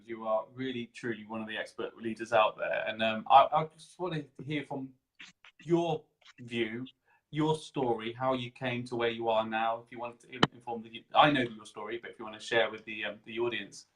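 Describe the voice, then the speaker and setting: A man's voice: mid-range voice, brisk, fairly bright tone, a little hiss or hum, rough recording. Effusive, rambling male interview host. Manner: effusive, rambling, repeats phrases. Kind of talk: casual interview chat.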